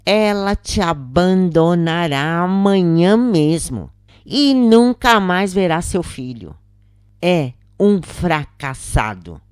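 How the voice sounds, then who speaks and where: A woman narrator plays a dark, accusing inner demon in a spiritual story reading. It speaks low and dry, scornful and insistent, pressing each condemnation slowly. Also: expressive, clean tone, no echo. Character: harsh accusing dark inner voice urging despair.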